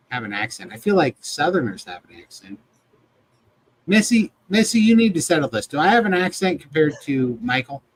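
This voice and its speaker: A man's voice: medium pitch, a bit bright, poor audio. A man hosting a live video chat show, talking casually with informal, jokey banter. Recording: clear background noise.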